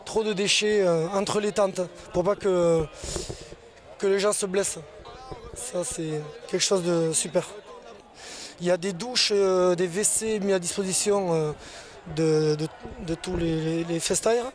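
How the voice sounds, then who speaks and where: A man with a fairly high voice answers interview questions in a relaxed, unscripted way. He stops and restarts often, filling gaps as he goes. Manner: hesitant, many fillers and restarts.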